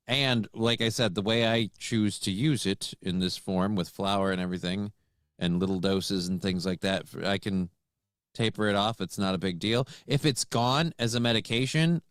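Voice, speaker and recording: Low-voiced frank man in his forties. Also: normal speed, smooth, dry recording, clean studio sound. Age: 40s. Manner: frank, steady, repeats words as he restarts. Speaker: co-host. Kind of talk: casual chat.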